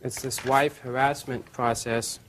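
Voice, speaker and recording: A man testifying as a defendant in a TV court, with deliberate and formal wording. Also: mid-range voice, flat delivery, a bit bright, a little hiss or hum.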